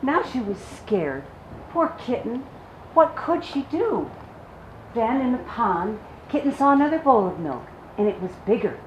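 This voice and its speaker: Lady reading to little kids, super expressive and sympathetic, roomy echo and noise behind her. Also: a little high. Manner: animated, sympathetic storytelling to young children. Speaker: children's storyteller. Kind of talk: expressive picture-book read-aloud. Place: public library story time room. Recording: echoey room with clear background noise.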